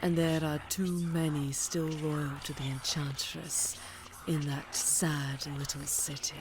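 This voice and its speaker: Low, slow, cruel vampire mistress, played by a woman. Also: level tone, background noise. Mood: menacing, mock-tender. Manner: menacing, mock-tender, deliberate, breathy whispers. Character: cruel, imperious vampire mistress gloating over her witch apprentice. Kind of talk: ASMR fantasy roleplay acting.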